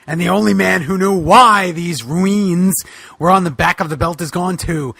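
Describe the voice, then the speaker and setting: A man voicing an exasperated, despairing boogeyman monster wrestler, gravelly and melodramatic, in a comic fan-fiction reading. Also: high voice, moderate pace, bright, forceful tone, dry recording, full character voice. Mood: dejected. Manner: melodramatic, pleading.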